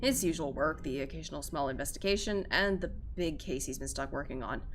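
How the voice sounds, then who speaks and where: A woman's voice: sits low, a little fast, background noise. A woman streamer reading a visual novel aloud with theatrical, casually sweary live commentary. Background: game music.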